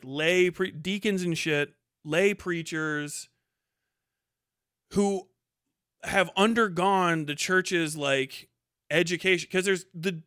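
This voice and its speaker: A man's voice: high voice, a bit bright, no echo, studio quality. A man delivering a casual, ranting livestream monologue in a colloquial, rambling, sweary way. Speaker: livestream host.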